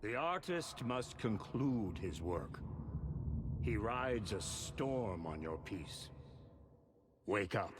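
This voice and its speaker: An actor playing a cryptic, aging rock-and-roll mentor in a video game, making theatrical, rhythmic proclamations. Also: male voice, lowish voice, background noise. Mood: cryptic warning. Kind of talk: dramatic video game voice acting.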